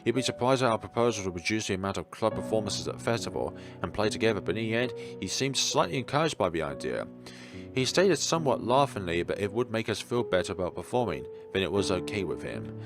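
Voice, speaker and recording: Man, lowish voice, animated story narration, let's play read-aloud, guitar music underneath. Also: fast. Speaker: video game let's player. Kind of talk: expressive read-aloud narration of a visual novel.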